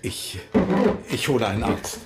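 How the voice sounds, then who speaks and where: An actor playing a concerned, frank defence lawyer in a German radio crime drama, serious and direct. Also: male voice, lowish voice, moderate pace, close to an everyday voice. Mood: grave, concerned.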